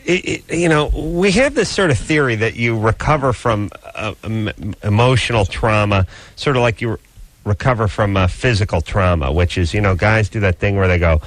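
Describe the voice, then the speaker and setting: A man's voice: on the low side, normal speed, no room sound. A man hosting a late-night call-in radio show, joking and teasing his callers. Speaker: radio host. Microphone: studio microphone.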